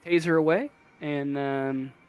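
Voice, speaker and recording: A man narrating a tabletop game casually as game master, improvising in a hesitant, halting way. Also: on the high side, fairly even intonation, smooth, lo-fi sound, faint music behind.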